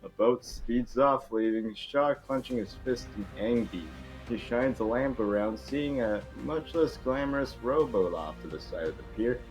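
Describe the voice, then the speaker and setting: A man's voice: medium pitch, unhurried, husky, faint music behind, low-quality recording. A man reading stage directions aloud in an amateur script read, sometimes stumbling over the words.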